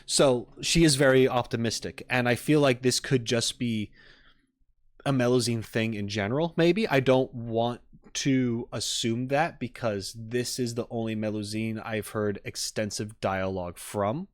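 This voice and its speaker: A man's voice: medium pitch, a little slow, dry recording, studio quality. A man hosting a stream, giving casual, enthusiastic and analytical reaction commentary in a rambling way as he talks with chat. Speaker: streamer host.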